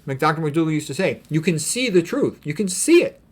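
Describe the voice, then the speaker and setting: A man's voice: fairly high pitch, big pitch swings, fairly quick, breathy edge. A man giving an informal lecture in a blunt, opinionated, emphatic way, talking conversationally with rhetorical questions and frequent check-ins. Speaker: physician giving health commentary. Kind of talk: informal lecture, reading quotes and commenting.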